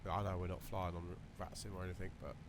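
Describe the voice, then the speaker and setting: A man with a slightly croaky voice, casually talking through a flight simulator session while thinking aloud with lots of fillers. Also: low-pitched, little pitch movement, quick pace. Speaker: flight sim video creator. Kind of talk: relaxed running commentary while flying a simulator. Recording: voice over loud simulator cockpit noise.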